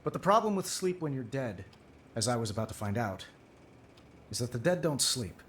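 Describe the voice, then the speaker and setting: An actor playing a weary, wisecracking superhero tycoon in a video game, dry and flippant. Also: male voice, mid-range voice, big pitch swings, moderate pace, a little hiss or hum, close to an everyday voice. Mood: reflective, wry. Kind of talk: cinematic video game narration and dialogue.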